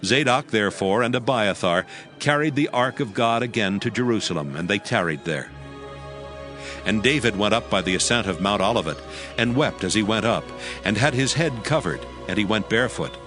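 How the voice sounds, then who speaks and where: Lower-pitched man narrating scripture. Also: animated, normal speed, music in the background.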